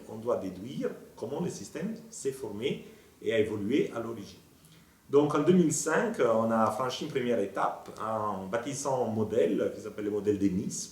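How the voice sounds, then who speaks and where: A man, a planetary scientist explaining his research in a measured way, with frequent hesitations. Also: on the low side, a little mellow, echoey room, recorded from a distance. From Italy.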